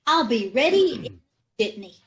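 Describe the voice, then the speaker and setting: A woman's voice: sits high, big pitch swings, moderate pace, lo-fi sound, over loud music. A woman playing a scatterbrained young wife who mangles her sayings, in old-time radio comedy style, from home on a video call. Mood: chirpy. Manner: malapropisms, chirpy and sure of herself. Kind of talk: 1930s radio comedy acting.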